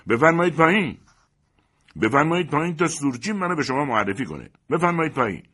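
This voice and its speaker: A man voicing a proud, indignant gentleman in a dramatic story reading, pompous and scornful in his declamatory speech. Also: low-pitched, sing-song, slightly rough, exaggerated voice. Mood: angry, defiant.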